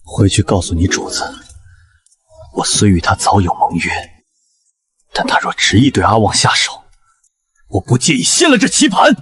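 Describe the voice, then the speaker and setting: Man acting a low, proud ancient nobleman. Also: wildly swooping pitch, normal speed, long pauses, a bit bright. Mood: cold, threatening. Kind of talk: Chinese animated period drama acting and narration.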